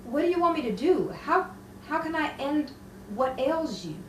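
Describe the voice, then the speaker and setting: A woman's voice: sits high, sing-song, normal speed, a bit bright. An actress playing an anxious young woman pleading with a suffering friend in melodramatic romantic drama style. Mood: distressed pleading. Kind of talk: 1950s-style romantic radio drama acting. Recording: roomy, distant, faintly noisy stage sound.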